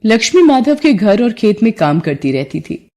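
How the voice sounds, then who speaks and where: A woman's voice: medium pitch, very animated, normal speed, a little mellow. Female narrator for scripted moral and devotional stories, mid-range voice. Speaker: storyteller.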